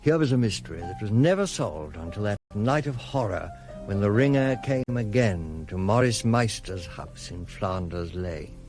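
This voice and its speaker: An actor playing the grave narrator of a radio suspense thriller, delivering dramatic scripted narration. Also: male voice, fairly low pitch, lively intonation, normal speed. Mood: ominous. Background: music.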